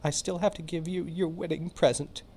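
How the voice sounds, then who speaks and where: A man voicing a young, dramatic, lovestruck male tomcat in a theatrical, high crooning way. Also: steady tone, normal speed, a little mellow, a little hiss or hum, natural voice. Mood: grieving.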